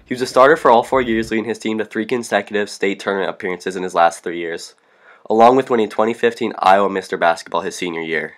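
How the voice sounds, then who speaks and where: Teen male student reporter, lowish voice, scripted news read. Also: fast talker. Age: teens. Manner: reads from a script.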